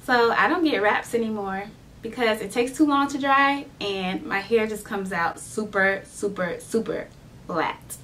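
A woman's voice: medium pitch, unhurried, a bit bright, slight background noise. Chatty young woman vlogging. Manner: chatty, playful, acts out salon scenes. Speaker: beauty vlogger. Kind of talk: casual vlog chat to camera. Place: indoors in front of a decorated backdrop wall.

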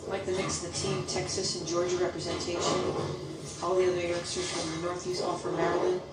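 A woman's voice: on the low side, little pitch movement, a little fast, echoey room, loud music behind the voice. A woman giving technical color commentary on a youth bowling match. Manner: technical, explanatory analysis. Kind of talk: sports broadcast analysis.

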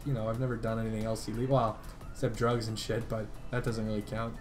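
A man's voice: on the low side, level tone, fast, faint music behind. A man chatting casually on a call, rambling in loose slang with plenty of swearing. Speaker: gaming video creator making a prank call. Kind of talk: casual phone chat.

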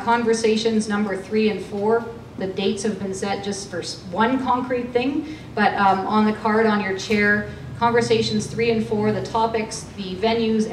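A woman in her forties hosting a small panel event, speaking haltingly with fillers and restarts. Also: medium pitch. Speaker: host and event organizer. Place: small studio event room with an audience. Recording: roomy sound from a far camera, faint hum.

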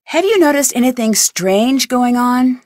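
Young girl detective for a game, inquisitive and earnest, bright higher voice, dry studio read. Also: animated, a little slow, dry recording. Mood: curious. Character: young female amateur detective, curious and determined. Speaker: game protagonist. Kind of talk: studio video game voice lines.

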